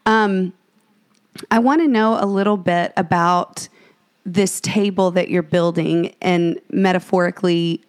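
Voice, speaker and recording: A woman's voice: on the low side, fairly even intonation, unhurried. A woman co-hosting a faith podcast, speaking earnestly and fervently, slipping into a preachy tone with many restarts. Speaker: podcast co-host.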